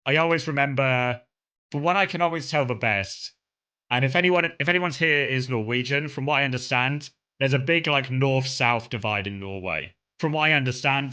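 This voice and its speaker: A man's voice: medium pitch, bright, forceful tone. A young man from Kent with a southern English accent, chatting casually and rambling to his stream viewers, full of fillers and restarts. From England (Kent).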